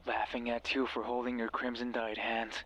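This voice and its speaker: A man playing a mysterious, controlling guide in a horror game, speaking in a commanding, condescending, deliberate way with menace and false care. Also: mid-range voice, level tone, fairly bright tone, highs cut off, natural voice. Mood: eerie, brooding. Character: mysterious controlling male voice guiding through a hospital, menacing, falsely caring. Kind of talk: horror visual novel voice acting. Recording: music behind the voice.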